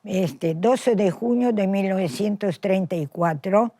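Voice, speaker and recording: Old lady in her 80s, italian accent, talks real slow and thoughtful, dry close sound. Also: medium pitch, mellow, no echo. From Macedonia. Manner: reflective, self-correcting storyteller. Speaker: interviewee, Holocaust survivor. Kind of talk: recorded testimony interview.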